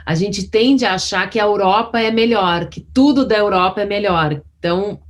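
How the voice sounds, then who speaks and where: A woman's voice: mid-range voice, moderate pace. A woman interviewing a guest in a casual chat, asking questions and giving short affirming replies.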